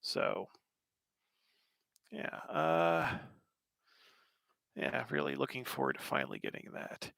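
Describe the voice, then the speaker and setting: A man's voice: medium pitch, sing-song, slow, vocal fry, long pauses, a bit bright, dry recording, studio quality. An American man in his thirties hosting a casual livestream, answering chat questions in a rambling, wry way with lots of fillers. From United States.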